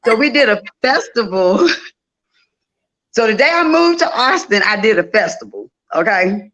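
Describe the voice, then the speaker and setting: A woman's voice: on the high side, sing-song, moderate pace, a bit bright, low-quality recording. A woman, telling stories casually and repeating phrases for emphasis, as a guest in an interview. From Louisiana, United States. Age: 50s. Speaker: interview guest, festival organizer. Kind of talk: casual conversational storytelling.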